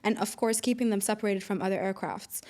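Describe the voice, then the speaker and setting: Podcast guest: woman from the UAE, mid-pitched, informal conversational explainer and storyteller. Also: fairly even intonation, fast, darkish tone. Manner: informal, explains with everyday analogies. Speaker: podcast guest, air traffic controller.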